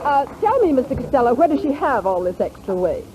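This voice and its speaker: An actress playing a self-assured, fussy fashion author in 1940s radio comedy, with a refined, lecturing manner. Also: female voice, high voice, big pitch swings, quick pace. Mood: politely inquisitive. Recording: slightly hissy 1940s radio recording.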